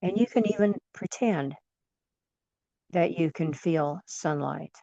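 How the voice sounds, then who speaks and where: Very slow, mellow woman guiding relaxation. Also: lowish voice, gravelly, dry recording, muffled recording. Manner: soothing, gentle, unhurried with long pauses. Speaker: guided meditation leader. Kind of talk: guided imagery relaxation.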